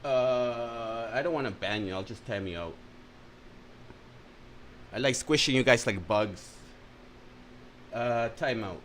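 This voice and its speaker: A man's voice: medium pitch, takes it slowly, pauses often, a bit bright. A man with an ordinary mid-range voice hosting a live stream, tossing out sarcastic, profane jabs at a clip. He rambles and trails off between remarks and talks to his chat. A faint hiss runs under his voice. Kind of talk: mocking reaction commentary.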